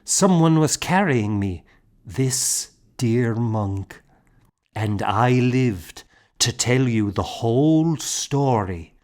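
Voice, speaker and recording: A man's voice: medium pitch, expressive, extremely slow, darkish tone. A man as a tender, assured young woman. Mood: earnest.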